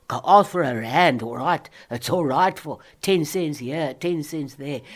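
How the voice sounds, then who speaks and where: An ageing South African woman who is down and out. Her speech is slow and rambling, full of muttering to herself that breaks into feisty bursts. It is a lonely, defiant monologue from a radio play. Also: low-pitched, full character voice.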